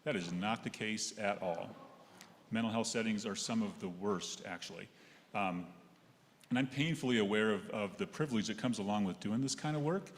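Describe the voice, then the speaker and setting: A man's voice: on the low side, normal speed. A middle-aged man giving a wry, hesitant personal reflection to a congregation. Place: large echoey indoor church space, seated. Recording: echoey hall with noticeable background noise.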